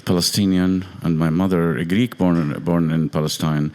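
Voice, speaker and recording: A man with a very low, deep voice, born in Lebanon, gives a few informal words of thanks to a congregation. He speaks haltingly, stopping often on fillers and restarting his sentences. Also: steady tone, darkish tone. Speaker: guest violinist. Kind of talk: short informal thank-you remarks. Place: congregation meeting hall.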